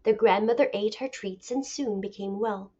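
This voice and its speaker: A woman teacher reading a picture book aloud as a lesson, with deliberate, expressive storytelling. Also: mid-range voice. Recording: dull lo-fi recording.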